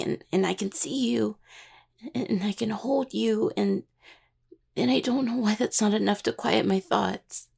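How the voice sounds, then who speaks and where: Husky, creaky, anxious young necromancer played by a woman. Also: mid-range voice, darkish tone. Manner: stammering, hesitant, earnest. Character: anxious young student necromancer, devoted worried partner. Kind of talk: audio roleplay acting.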